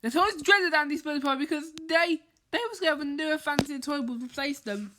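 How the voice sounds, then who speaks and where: A woman reading a children's bedtime story aloud, haltingly, stumbling over and repeating words as she goes. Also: high voice, background noise. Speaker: storybook reader.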